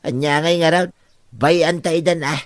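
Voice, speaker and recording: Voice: mid-range voice, fairly even intonation, normal speed, no echo, clean studio sound, natural voice. An actor playing a reasoning older parent with an Ilocano accent in a comedy radio drama. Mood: matter-of-fact.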